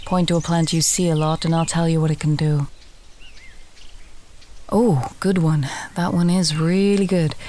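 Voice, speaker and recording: Woman doing a chatty herb-gathering alchemist for fantasy roleplay audio, kinda low voice, dry humor, some nature noise behind her. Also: a little mellow, lightly acted. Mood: friendly, instructive. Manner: conversational, instructive, drily humorous.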